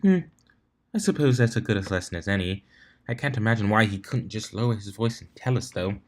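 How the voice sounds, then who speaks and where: A man's voice: lowish voice, expressive, brisk, lightly acted. A man voicing a refined, fussy female unicorn fashionista with a theatrical, ladylike manner in a storybook reading. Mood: unimpressed.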